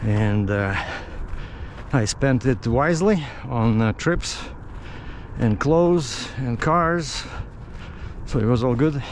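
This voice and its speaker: A middle-aged man with a Russian accent rambles through a casual story at an unhurried, slow pace. He often halts and searches for the next word. Light outdoor traffic noise sits behind his voice. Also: mid-range voice, animated. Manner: halting, searching for words. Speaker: vlogger.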